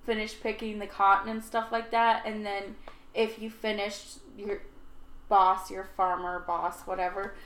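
A woman's voice: medium pitch, little pitch movement, unhurried, fairly bright tone, echoey room. A woman co-hosting a podcast, chatting casually and hedging her thoughts with "like" and "I just feel". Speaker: podcast co-host. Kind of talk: casual chat.

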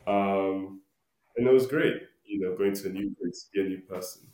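A man's voice: deep voice, moderate pace, darkish tone. A man in his late twenties from Ghana, answering casually as a podcast guest in an informal, laid-back way. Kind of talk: casual conversational interview answers. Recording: roomy, echoey voice recording.

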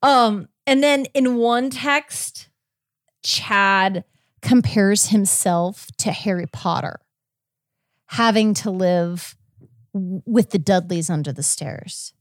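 A woman's voice: medium pitch, takes it slowly, no room sound. Chatty woman podcast host, close dry sound. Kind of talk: casual conversational recap of a court case.

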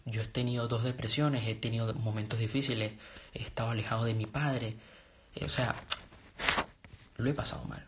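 Earnest Venezuelan-born man coaching viewers. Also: mid-range voice. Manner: earnest, rambling with many restarts and fillers. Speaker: personal development and trading coach. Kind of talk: casual live-stream talk to viewers.

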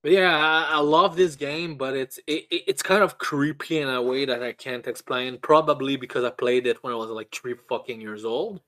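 A man's voice: medium pitch, normal speed, few pauses, bright, forceful tone. Stammering man chatting casually about games. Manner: stammering, repeats words often; casually profane. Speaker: podcast co-host. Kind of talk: casual video game chat.

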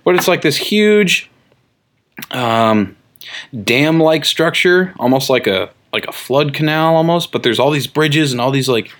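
A young man co-hosting a comic book podcast, rambling in casual chat with many fillers and quick laughs. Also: fairly high pitch, expressive, fairly bright tone. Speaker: podcast co-host.

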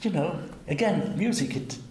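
A man's voice: on the high side, expressive, normal speed, a little mellow. An older man, a cellist, musing conversationally and self-deprecatingly, often ending with tag questions. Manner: musing, asks tag questions, chuckles at himself. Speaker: cellist and guest. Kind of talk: conversational interview. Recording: roomy event recording with faint hum.

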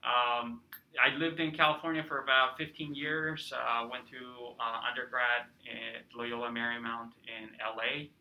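Bright-voiced Peruvian-born man in his thirties. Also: mid-range voice, little pitch movement, moderate pace, rough recording. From Peru. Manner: warm storytelling with many fillers. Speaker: guest, cultural center director. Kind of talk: casual interview chat.

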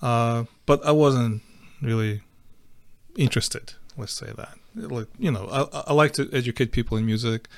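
A middle-aged man from Ukraine talks as an interview guest, stopping and restarting often with filler sounds. His pitch sits in the ordinary middle for a man. The recording is dry and close, with no room sound. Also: no echo. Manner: halting, many fillers and restarts. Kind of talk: casual interview.

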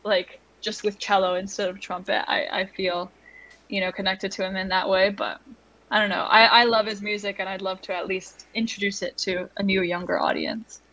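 A young woman, a musician guest in an interview, speaking softly and hesitantly with many ums and likes. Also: medium pitch, little pitch movement, moderate pace, rough recording. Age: young adult. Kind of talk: conversational interview.

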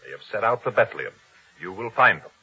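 A man's voice: lowish voice, animated, fast talker, bright, forceful tone, slightly rough, heavily acted. An actor playing a fearful, angry, scheming tyrant king in an old-time radio drama, with an imperious, commanding delivery. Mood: scheming, forceful. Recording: dull vintage radio recording.

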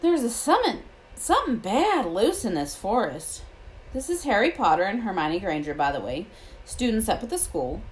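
A woman voicing a gruff but kindly giant male gamekeeper from a storybook, protective and cautious, with rustic, colloquial speech. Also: mid-range voice, big pitch swings, moderate pace, a little hiss or hum, pitched above the performer's own voice, lightly acted, poor audio. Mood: friendly, concerned. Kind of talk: storybook character voice.

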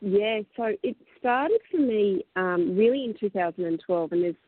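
A woman in her thirties or forties, a mentor appearing as a radio guest, sounding husky in the middle of her night. She tells her story at a measured pace, at an ordinary pitch, over a thin phone-like line with a dry sound. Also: lively intonation, dry recording. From Australia. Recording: tinny phone-line audio.

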